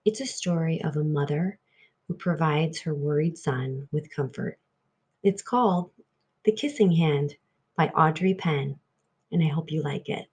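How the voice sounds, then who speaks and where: A woman's voice: low voice, unhurried, a little mellow, a little hiss or hum. A woman storyteller reading aloud expressively for young children.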